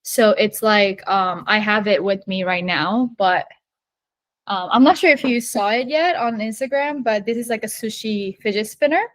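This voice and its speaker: A woman in her late twenties, born and raised in Japan, talks about her small business in a loose, chatty way. She leans on "like" and "you know" and laughs easily. Her voice sits at a middle pitch, recorded close and dry. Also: moderate pace, dry recording. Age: 20s. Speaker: small business owner, podcast guest. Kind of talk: casual interview conversation.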